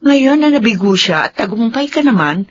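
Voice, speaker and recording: An actress playing a blunt, scornful confidante in a radio drama, indignant and outspoken. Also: female voice, fairly high pitch, expressive, moderate pace. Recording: dull lo-fi radio drama sound.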